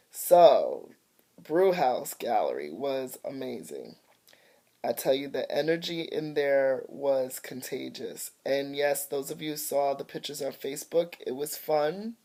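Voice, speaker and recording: A woman's voice: low voice, mellow. A middle-aged woman, an artist, chatting casually to camera at home, a little congested from allergies. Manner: chatty, grateful, slightly congested. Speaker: artist and vlogger. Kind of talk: casual home video update. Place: at home by a window. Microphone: phone or webcam camera mic.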